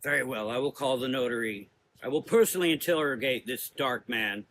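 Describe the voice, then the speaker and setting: A stern older woman in charge of a temple, played by a man, bright and forceful, in a gothic audio drama. She speaks formally, with proud authority and suspicion, over clear background noise. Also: mid-range voice, brisk, close to an everyday voice, low-quality recording. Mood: begrudging.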